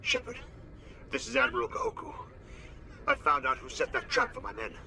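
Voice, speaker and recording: Navy admiral: urgent, gravelly, very sharp and forceful, wide swings, faint noise behind. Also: male voice, extremely high pitch, huge pitch swings, fairly quick, exaggerated voice. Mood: urgent, alarmed. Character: urgent, rattled senior male navy admiral. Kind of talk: video game dialogue acting.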